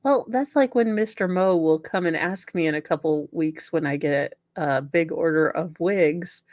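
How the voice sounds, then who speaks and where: A woman's voice: sits low, normal speed, a little mellow, dry recording, studio quality. A woman hosting a comedic dramatic reading, sarcastic and theatrical, breaking in with mocking, casually sweary asides. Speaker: podcast host.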